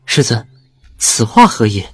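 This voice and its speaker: A man's voice: lowish voice, big pitch swings, unhurried, breathy edge, heavily acted. A man acting in an audio drama as a dutiful young disciple, speaking formally and respectfully to his master. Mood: puzzled. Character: earnest, dutiful young male disciple of an immortal.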